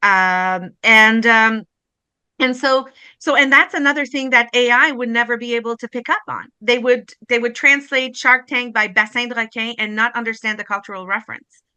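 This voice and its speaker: Woman, bright, crisp, dry sound, hesitant. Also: fairly high pitch, moderate pace, no echo. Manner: hesitant, repeating words. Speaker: French translator guest. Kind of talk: casual panel discussion.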